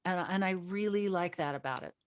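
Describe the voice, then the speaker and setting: A woman's voice: on the low side, brisk, smooth, no room sound. A woman hosting a casual group interview as a show's writer, joking in a playful, self-deprecating way. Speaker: host and writer of an audio drama.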